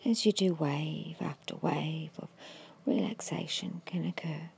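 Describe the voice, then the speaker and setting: A woman giving an ASMR guided hypnosis in a hushed, breathy whisper, slowly and soothingly instructing with long pauses. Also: low voice, fairly even intonation. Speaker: hypnotist guiding a meditation.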